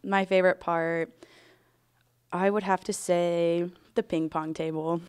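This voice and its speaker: A college softball player in her twenties, soft-spoken and a little hesitant, talking into a handheld mic. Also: lowish voice, level tone, measured pace, smooth. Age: 20s.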